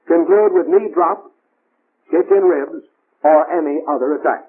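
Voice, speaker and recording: A man's voice: a little high, a little slow, slightly rough. A man narrating a 1940s military training film in scripted, clipped, imperative instructions. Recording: tinny band-limited 1940s film soundtrack.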